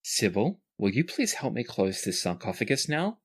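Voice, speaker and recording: A man playing a shaken, grieving son, a princely adventurer in a tabletop fantasy game, speaking in a halting, earnest way. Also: on the low side, big pitch swings, breathy edge. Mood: subdued.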